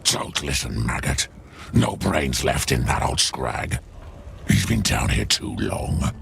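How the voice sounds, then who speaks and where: A man playing a sneering, brutish orc bully in a video game, with a very deep, rasping voice. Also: very animated, slow pace, heavily acted. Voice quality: very deep, heavily gravelly. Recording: loud noise around the voice.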